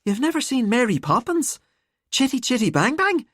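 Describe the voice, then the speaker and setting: A woman's voice: on the high side, very animated, moderate pace, fairly bright tone, husky, dry recording, studio quality, pitched above the performer's own voice, heavily acted. A woman voicing a cheeky teenage schoolgirl in an audiobook, teasing and mock-dramatic. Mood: mock outrage.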